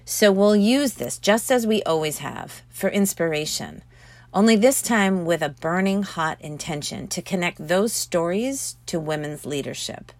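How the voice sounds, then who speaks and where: A woman narrating her own motivational audiobook as its author, speaking earnestly with emphatic, deliberate phrasing. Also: fairly low pitch, no room sound. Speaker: author reading own book.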